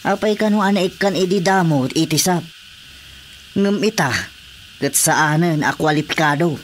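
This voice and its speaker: A man's voice: a little high, normal speed, a little hiss or hum, close to an everyday voice. A man with an Ilocano accent playing an authoritative village captain in a radio drama comedy. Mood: matter-of-fact. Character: male village captain, matter-of-fact and authoritative.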